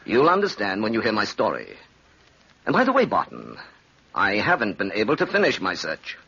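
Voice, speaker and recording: Gravelly, cold-blooded radio villain. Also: male voice, lowish voice, wildly swooping pitch, normal speed, pushed and bright, exaggerated voice. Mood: sinister relish. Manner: theatrical, grandiose, taunting. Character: cynical, cold-blooded wicked man relishing his evil. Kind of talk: old-time radio drama acting. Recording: dull, hissy old radio recording.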